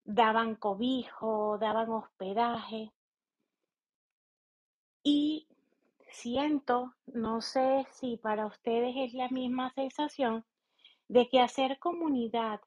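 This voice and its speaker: A woman with a Venezuelan accent, giving a reflective informal monologue as a podcast host in a halting, deliberate manner. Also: on the high side, darkish tone. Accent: Venezuelan Spanish. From eastern Venezuela. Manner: halting, deliberate, thinking aloud. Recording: slightly dull, lo-fi sound.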